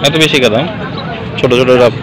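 A man's voice: medium pitch, quick pace, a crowd in the background, low-quality recording. A man with a Bangladeshi accent chatting casually as a vlogger, recorded outdoors on a handheld camera. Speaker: travel vlogger, customer. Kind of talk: casual chat and haggling. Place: outdoors at roadside stalls by a beach. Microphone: handheld camera, speaker behind it. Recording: loud outdoor street and traffic noise.

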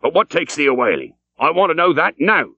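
A man's voice: very animated, a little fast, bright, forceful tone, slightly rough, no echo, muffled recording, full character voice. A man voicing a fierce, blustering old male sea captain who barks archaic questions. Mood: gruff demanding. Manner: booming, archaic, interrogating.

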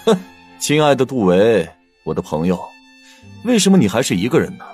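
A man's voice: medium pitch, very animated, brisk, a little mellow, natural voice. A man playing a jovial, pleasure-loving prince in a dramatized fantasy audiobook, theatrical and effusive. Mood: jovial teasing. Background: music behind the voice.

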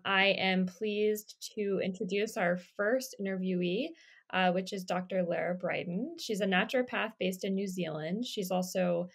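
Chatty young woman interviewer. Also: medium pitch. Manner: chatty, filler-heavy. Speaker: interviewer, co-host. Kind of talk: casual interview chat. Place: bedroom indoors. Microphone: webcam on video call.

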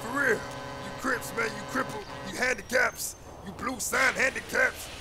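A man playing a captured young gang member who begs and yells in a frantic voice. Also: very high voice, steady tone, normal speed, music in the background. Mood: pleading. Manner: pleading, frantic, yelling.

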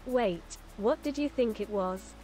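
A woman putting on a low, gruff voice to read out a teasing riddle. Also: a little slow, mellow, a little hiss or hum, lightly acted.

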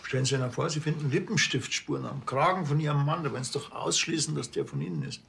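Dry deadpan policeman, gravelly creak, middling pitch, crime comedy. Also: quick pace, a little hiss or hum. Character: dry, blunt male rural policeman.

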